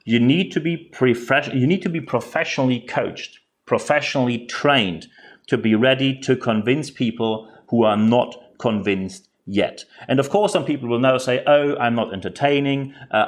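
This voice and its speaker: A man with a German accent, speaking English as a second language, hosting a podcast and giving direct, earnest commentary with frequent repeated words. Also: mid-range voice. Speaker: podcast host.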